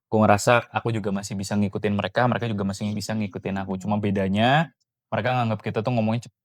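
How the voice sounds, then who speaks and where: A man's voice: on the low side, steady tone, very fast, dry recording, studio quality. A young man from Cilacap with a Banyumasan Javanese Ngapak accent, a student guest talking in an easygoing, rambling way, mixing slang with Javanese. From Cilacap, Central Java. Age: young adult. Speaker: podcast guest, university student. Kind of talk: casual podcast chat.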